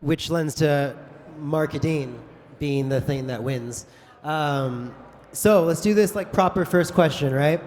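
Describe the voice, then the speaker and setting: A man moderating a conference panel debate, brisk and joking in an informal way. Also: fairly high pitch, a little mellow. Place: large conference hall. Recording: echoey conference hall.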